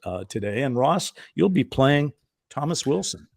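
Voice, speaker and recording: A man's voice: medium pitch, very animated, moderate pace, gravelly, breathy edge, dry recording. A man co-hosting a show, chatting informally and reading interview questions aloud from a script. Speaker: co-host of a show.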